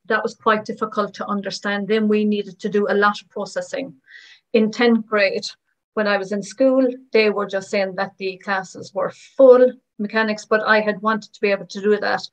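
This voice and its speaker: Woman, voice-over interpreter, first-person testimonies, mid-pitched, short even phrases. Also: low-quality recording. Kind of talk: voicing first-person testimonies in plain narration.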